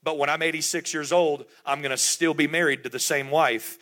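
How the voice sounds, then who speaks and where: Middle-aged male pastor, emphatic sermon delivery, bright forceful voice. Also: a little high, fairly even intonation, a little fast. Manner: emphatic, repetitive preaching with rhetorical questions.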